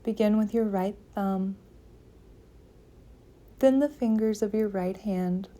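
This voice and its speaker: A woman leading a guided meditation, giving calm, gentle, soothing instructions with long pauses between phrases. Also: medium pitch. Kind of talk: guided yoga nidra meditation.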